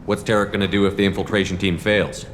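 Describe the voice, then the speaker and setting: A tough, blunt military commander, played by a man in a sci-fi video game. The low voice stays terse and controlled, with clear noise behind it. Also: flat delivery, fast talker, natural voice. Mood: terse, probing. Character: terse, tough male space soldier commander.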